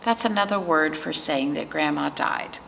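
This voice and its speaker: A woman leads a children's storytime in a fairly low, creaky voice. She speaks gently and simply, putting easy questions to her young listeners. There is faint noise behind her. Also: moderate pace. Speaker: children's librarian and storytime host. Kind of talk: picture-book read-aloud and talk for preschoolers.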